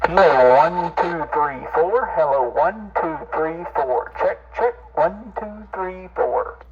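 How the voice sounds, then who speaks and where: A man's fairly high voice comes over a CB radio in short mic-test phrases. The sound is dull and lo-fi, with faint noise. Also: slow pace. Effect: CB radio transmission through a speaker. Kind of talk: radio microphone test, counting.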